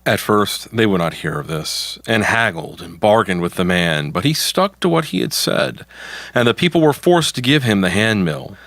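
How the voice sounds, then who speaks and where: A man's voice: lowish voice, big pitch swings, moderate pace, a bit bright, no echo. A man narrating a folktale from a script as the storyteller.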